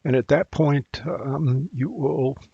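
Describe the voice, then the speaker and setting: A man presenting an unscripted technical screencast tutorial, thinking aloud in a halting way as he goes. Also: mid-range voice, gravelly, warm tone, husky, no echo, lo-fi sound. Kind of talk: unscripted software screencast walkthrough.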